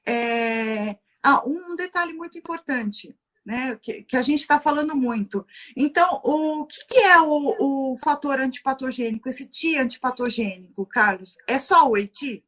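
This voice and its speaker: A woman with a Brazilian Portuguese accent giving a relaxed, teacherly explanation from a livestream, posing rhetorical questions and tagging her sentences with little checks for agreement. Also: high voice, unhurried. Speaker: guest lecturer on Chinese medicine.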